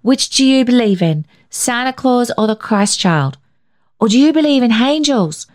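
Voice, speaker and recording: A woman's voice: a little high, expressive, normal speed, darkish tone, pitched above the performer's own voice, lightly acted. A woman voicing an eager little boy talking to his grandfather in a storybook reading.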